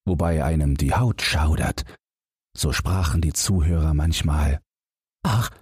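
A man's voice: extremely low pitch, fairly even intonation, a little slow, a little mellow, husky, no echo, clean studio sound. A man reading a scripted fairy-tale audiobook narration for bedtime. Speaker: audiobook reader.

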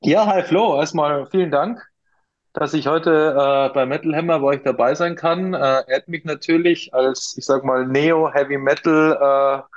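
Austrian man with a higher, muffled voice. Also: fairly even intonation, a little slow. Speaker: interview guest, brewery co-founder. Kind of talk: casual interview talk. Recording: dull, lo-fi interview audio.

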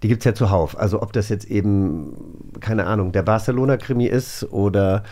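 Mellow-voiced man hosting a casual interview. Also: fairly low pitch, moderate pace. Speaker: podcast interviewer and host.

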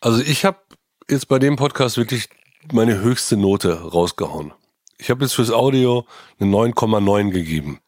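A man's voice: on the low side, lively intonation, normal speed, darkish tone, dry recording, clean studio sound. A man co-hosting a podcast, reviewing casually in a gushing, informal way. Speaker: podcast co-host.

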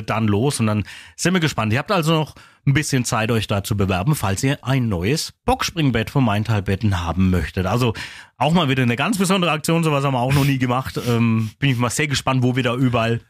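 A man's voice: medium pitch, lively intonation, brisk, dry recording, clean studio sound. A man with a Franconian accent co-hosting a morning radio show, explaining at length and correcting himself as he goes. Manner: rambling, self-correcting explainer. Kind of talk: casual radio banter.

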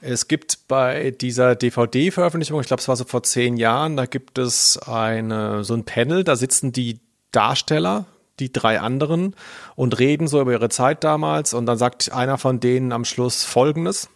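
A man's voice: medium pitch, normal speed, vocal fry, no echo. A man hosts a podcast in a mid-range voice. The sound is very dry, and he comes across as tentative and modest about himself as he discusses things casually. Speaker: podcast host. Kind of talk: casual discussion, some reading aloud.

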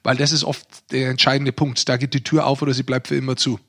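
A man's voice: medium pitch, level tone, quick pace, fairly bright tone. A man co-hosting a sports podcast, giving casual but emphatic analysis and repeating phrases for stress. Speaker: podcast co-host.

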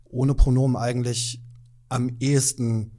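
A man's voice: mid-range voice, flat delivery, measured pace, mellow. A man in his forties explaining himself earnestly as a guest on an interview podcast, recorded indoors in a shop. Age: 40s. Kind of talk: conversational interview.

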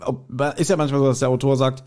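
A man's voice: mid-range voice, little pitch movement, fast talker, darkish tone. A man co-hosting a podcast, chatting casually and colloquially as he retells and discusses a story, slipping into quoted asides. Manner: colloquial, acts out characters' thoughts in quoted asides. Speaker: podcast co-host. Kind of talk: casual chat, retelling and discussing an audio drama episode.

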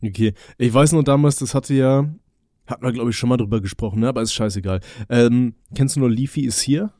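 Young male podcast co-host, warm, dark tone, chatty and stammering, dry sound. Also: medium pitch, fairly quick, no echo. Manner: rambling, stammering restarts, joking. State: recovering from a cold. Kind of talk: casual chat.

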